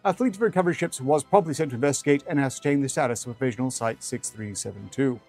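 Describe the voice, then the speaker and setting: A man's voice: mid-range voice, fast talker, darkish tone. A man reading fiction aloud as a streamer, with dry, rambling asides in formal wording. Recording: music behind the voice.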